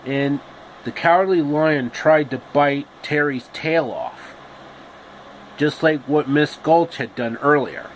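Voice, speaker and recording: Guy reading a story out loud, slow and deliberate, bit of hum behind him. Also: fairly high pitch, lively intonation, poor audio. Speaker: storyteller, reader. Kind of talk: amateur scripted storytelling.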